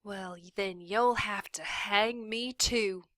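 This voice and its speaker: A woman voicing a frantic young female schoolteacher who pleads in a high, urgent voice. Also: slow, sharp tone, no echo, clean studio sound. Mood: defiant.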